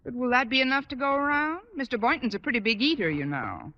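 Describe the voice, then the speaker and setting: A woman's voice: sits high, lively intonation, fast. An American woman acting a dry, wisecracking English teacher in an old radio sitcom. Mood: sardonic. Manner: dry, sardonic, arch. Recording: muffled, reverberant, hissy old radio broadcast.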